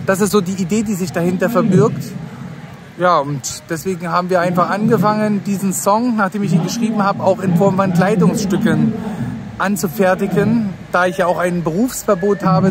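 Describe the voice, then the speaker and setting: A man in his forties with a Thuringian accent, giving an earnest, rambling street interview. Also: high voice. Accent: Thuringian German. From Thuringia, Germany. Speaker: interviewee, party singer and DJ. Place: outdoor city square. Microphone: camera held close to face. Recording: loud street and traffic noise. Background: traffic and city ambience.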